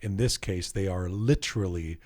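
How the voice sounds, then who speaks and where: A man's voice: low-pitched, normal speed, darkish tone. A man hosting a roundtable discussion, hesitantly framing questions and handing them to guests. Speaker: host. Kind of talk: moderating a roundtable.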